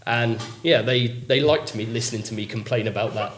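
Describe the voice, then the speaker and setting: A chatty man talks over a podcast, trailing off into ums and likes. His voice sits at an everyday middle pitch, and he pokes fun at himself. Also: a little fast, a bit bright. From England. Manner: chatty, lots of ums and likes, self-mocking. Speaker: English teacher. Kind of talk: casual podcast chat.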